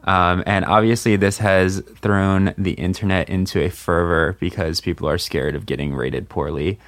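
A man's voice: sits low, steady tone, normal speed, dry recording. A man hosting a podcast, chatting casually and jokingly with many fillers. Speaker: podcast host. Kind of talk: casual group chat.

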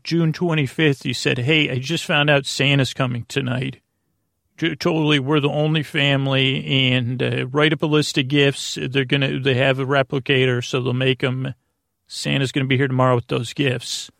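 Creaky-voiced man rambling casually. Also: mid-range voice, fairly even intonation, fairly bright tone, no room sound, clean studio sound. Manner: meandering and halting, trails off into tangents. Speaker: podcast host. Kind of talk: rambling, casual bedtime monologue.

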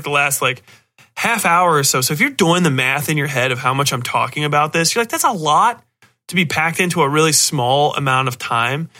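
A man's voice: on the high side, bright, forceful tone. A man hosting a podcast, giving a casual, rambling film review in a blunt, profane, sardonic way with exasperated asides. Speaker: podcast host reviewing horror films. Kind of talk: casual spoken movie review.

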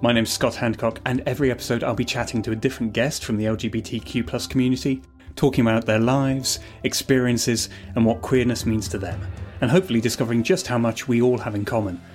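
A man hosting a podcast interview, speaking in a friendly, measured way. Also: mid-range voice, fairly even intonation, darkish tone, soft background music. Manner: friendly, measured, scripted intro then chat. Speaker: podcast host.

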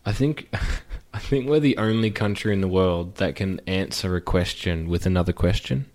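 An Australian man with a very low, deep voice chats loosely on a podcast, often speeding up and slipping into quick impressions of everyday greetings. He talks in an easygoing, animated way, with plenty of fillers and restarts. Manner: chatty, animated, acts out voices of others. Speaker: podcast host. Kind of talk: casual chat.

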